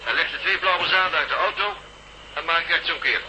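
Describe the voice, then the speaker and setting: An actor playing a cold, commanding kidnapper in a radio drama, curt and menacing, speaking over a telephone. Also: male voice, on the high side, fairly quick, sharp tone. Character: cold, commanding villain kidnapper phoning in demands. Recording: voice over telephone line, faint noise.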